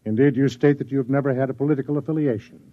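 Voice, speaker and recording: An actor playing a stern, suspicious government interrogator, formal and insinuating, in classic radio drama style. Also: male voice, mid-range voice, steady tone, rapid-fire, natural voice. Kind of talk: old-time radio drama acting. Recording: thin phone-like old radio recording.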